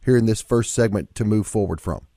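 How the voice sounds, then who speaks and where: A man's voice: on the low side, brisk, dark tone, no echo. A man hosting a radio talk show from a studio microphone, affirming his guest and announcing in promo style. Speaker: radio host.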